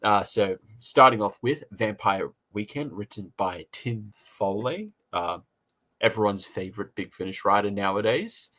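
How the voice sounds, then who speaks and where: A man's voice: lowish voice, lively intonation, unhurried, a bit bright. Casual Australian man hosting a review. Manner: jokey, teasing. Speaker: podcast review host.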